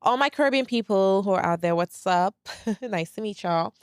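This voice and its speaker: Solo podcast host, woman in her 30s, Brooklyn accent with a light Saint Lucian trace, candid and laid back, smooth clear voice, dry close sound. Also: medium pitch, moderate pace, no echo. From Saint Lucia, raised in Brooklyn, New York. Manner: candid and laid back, lots of "like" and "very much so", swears freely. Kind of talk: casual solo podcast monologue.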